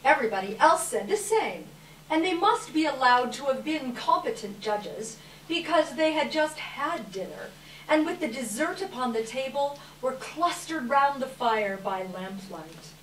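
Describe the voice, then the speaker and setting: A woman narrating a story at a live readers theater, with deliberate, emphatic storytelling phrasing. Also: high-pitched, animated. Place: live reading in a roomy hall. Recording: roomy, far from mic, faint noise.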